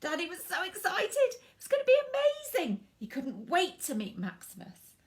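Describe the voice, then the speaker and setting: A woman reading a picture book aloud to children in an expressive way, stressing words for drama and speaking directly to young listeners. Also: very high voice. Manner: animated, dramatic emphasis with drawn-out words and hushed asides.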